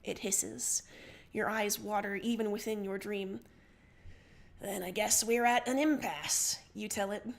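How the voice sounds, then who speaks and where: A woman's voice: medium pitch, fairly even intonation, a little slow, gravelly. A woman narrating second-person fiction expressively as a livestream story reader.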